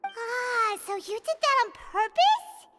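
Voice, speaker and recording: A tiny fairy game companion with an extremely high, squeaky, childlike voice. The delivery is exclamatory and impatient, swinging widely in pitch, with prominent music playing behind it. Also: female voice, animated, slow pace, heavily acted. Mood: curious. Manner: refers to self in third person, exclamatory. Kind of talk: video game character acting.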